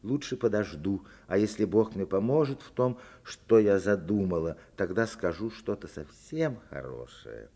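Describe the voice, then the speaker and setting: A man's voice: fairly low pitch, animated, normal speed, few pauses, mellow. A man reading the part of a shy, sly young village girl, coy and tender, in a radio story. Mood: hopeful.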